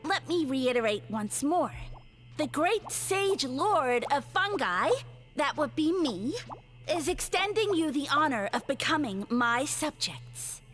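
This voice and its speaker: A woman's voice: high voice, big pitch swings, slow, fairly bright tone, soft background music, full character voice. A woman voicing a haughty, theatrical mushroom lord in a game, speaking down to everyone in grand tones. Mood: condescending. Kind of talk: game voice acting.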